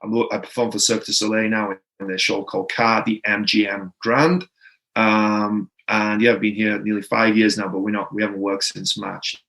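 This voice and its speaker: A man's voice: on the low side, fairly even intonation, moderate pace, gravelly, fairly bright tone, rough recording. A man from Wales with a Welsh accent, a native Welsh speaker, telling his story as a podcast guest in a rambling, casual way full of "like" and "right?". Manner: rambling, filler-heavy, frequent "right?" and "like".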